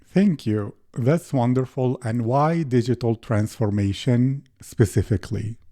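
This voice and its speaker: A man hosting a business podcast, reading a scripted intro and then asking interview questions in a measured way. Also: medium pitch, mellow. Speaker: podcast host.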